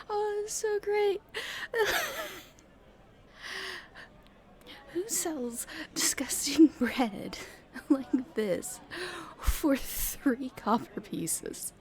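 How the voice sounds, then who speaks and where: A woman playing a cheeky young street thief in an ASMR roleplay, chatting casually and haltingly to the listener. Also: high-pitched, lively intonation, darkish tone, full character voice. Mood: giddy, mischievous. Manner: casual, halting speech with hesitations.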